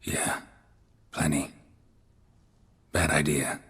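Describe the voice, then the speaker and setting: An actor playing a gruff, terse monster hunter in a video game, with a dry, deadpan delivery and a gravelly voice. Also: male voice, rumbling low, extremely slow, big-room sound, exaggerated voice. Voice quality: gravelly, husky. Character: gruff, laconic male monster hunter, coolly pragmatic.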